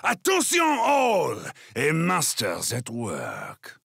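A man's voice: on the high side, wildly swooping pitch, drawn-out words, vocal fry, fairly bright tone, full character voice. A man voicing a pompous, self-important master in a video game. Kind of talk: video game character acting.

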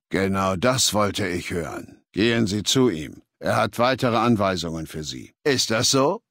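An actor playing a commanding, arrogant warlord in a video game, speaking in a measured, authoritative and condescending way. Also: male voice, fairly low pitch, no room sound, clean studio sound. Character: commanding, arrogant male warlord, cold and imperious, ailing. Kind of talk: acted video game dialogue.

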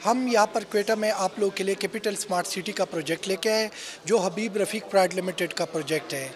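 A man's voice: high-pitched, fast. A middle-aged man with a Pakistani accent, speaking as a real estate sales representative in a promotional on-camera interview. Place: indoor expo hall.

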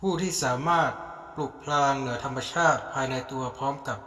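A man with a medium-pitched voice narrates a scripted fantasy tale. The sound is strongly echoey, with a long reverb tail trailing the words. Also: fairly quick, sharp tone.

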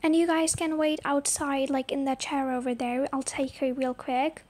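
A young woman voicing a brisk, kindly female hospital doctor in an amateur roleplay. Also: high-pitched, level tone, darkish tone, natural voice. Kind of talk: amateur voiced toy-app roleplay.

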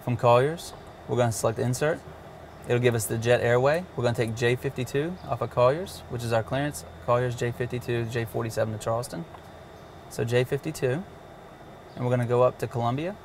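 A man's voice: mid-range voice, a little fast, slight background noise. A man casually demonstrating a cockpit navigation system step by step, with occasional fillers. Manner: walks through steps plainly, with fillers. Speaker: avionics demonstrator.

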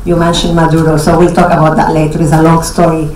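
Lower-pitched woman in an echoey hall. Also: monotone, fast, darkish tone, recorded from a distance. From born in Iraq, later Lebanon and Venezuela. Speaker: audience questioner. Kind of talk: informal spoken question. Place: indoor conference room. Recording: echoey hall from off, faint noise.

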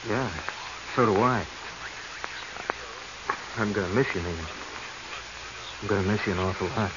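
An actor playing a lovesick, jealous treasure hunter in a 1940s radio drama, earnest and urgent. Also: male voice, fairly low pitch, moderate pace, close to an everyday voice. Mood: wistful. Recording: noisy, muffled 1940s radio recording.

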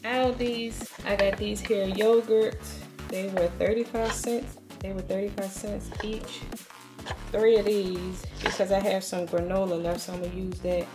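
A woman's voice: mid-range voice, flat delivery, a little slow, music in the background. A woman chatting casually through a grocery haul, speaking to viewers as y'all. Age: adult, has a daughter. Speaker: vlogger.

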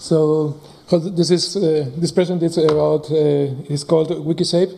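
A man presenting a software tool on a conference stage at a podium mic, halting with many fillers and restarts. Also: on the high side, flat delivery, gravelly, a little hiss or hum.

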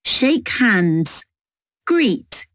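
A woman narrating textbook audio in a slow, clear reading. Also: on the high side, big pitch swings, dry recording, studio quality.

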